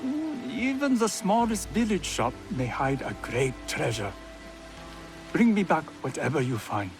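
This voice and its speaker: A genial feudal Japanese tea master in a game cutscene. He is refined and contemplative, with a measured delivery that moves freely up and down, over faint music. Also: fairly high pitch, big pitch swings.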